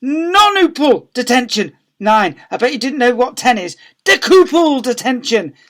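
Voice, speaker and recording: A man's voice: very high voice, sing-song, measured pace, a bit bright, pitched above the performer's own voice, heavily acted. A man voicing a furious, power-mad woman deputy head from a children's story, bellowing and ranting.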